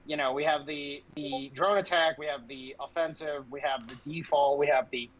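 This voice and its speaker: A man's voice: fairly high pitch, steady tone, normal speed, dull audio. A man giving casual political commentary as a podcast host, speaking in a brash, blunt, profanity-laced way.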